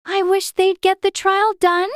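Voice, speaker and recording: A man's voice: extremely high pitch, completely flat delivery, measured pace, no echo, studio quality, pitched above the performer's own voice. A man voicing an eager, polite, curious little girl in a storybook, speaking in a childlike way. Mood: curious musing.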